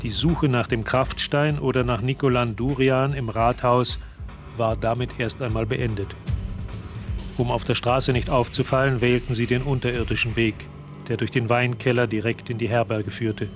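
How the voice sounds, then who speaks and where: Man as measured mystery storyteller over music. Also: medium pitch, flat delivery, lightly acted. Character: sober male storyteller of a dark mystery. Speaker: narrator. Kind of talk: scripted radio drama narration. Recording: music under the voice.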